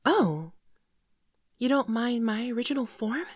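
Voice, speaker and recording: A woman playing a gentle, naive shapeshifting alien in an ASMR roleplay, speaking softly, hesitantly and tenderly, often near a whisper, close to the microphone. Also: fairly high pitch, lively intonation, measured pace, dry recording. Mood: shy, grateful. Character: gentle shapeshifting female alien, naive, earnest, shyly affectionate. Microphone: close microphone, dry sound.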